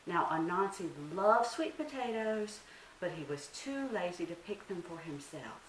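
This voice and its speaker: A woman telling a story to young children as a librarian at storytime, with a dramatic, expressive delivery. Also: on the low side, measured pace. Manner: dramatic, asks listeners questions. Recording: roomy, distant mic, faint hiss.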